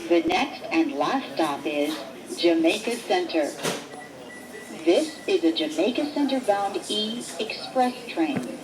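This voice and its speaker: Female transit announcer, slightly low pitch, unhurried scripted phrasing, crowd noise behind her. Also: animated, low-quality recording. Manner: measured, formulaic announcing in short separate phrases. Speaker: train announcer.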